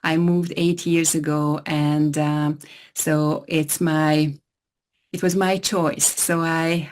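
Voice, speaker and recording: A woman's voice: deep voice, a little mellow. A woman from Hungary with a Hungarian accent, speaking English as her second language as a guest therapist in an interview, talking hesitantly with many restarts. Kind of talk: interview conversation.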